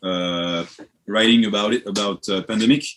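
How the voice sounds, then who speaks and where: Halting low-voiced French man. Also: steady tone, a bit bright, a little hiss or hum. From France. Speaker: band member, interview guest. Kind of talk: casual interview chat.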